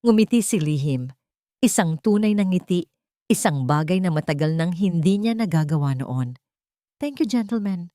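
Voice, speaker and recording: Filipino-accented woman, slightly low voice, scripted dramatic story narration, dry close recording. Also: animated, normal speed, dark tone, dry recording.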